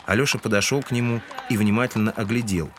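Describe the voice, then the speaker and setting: A man's voice: on the low side, fast, a bit bright, a little hiss or hum. A man giving scripted literary narration in an audio drama. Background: music.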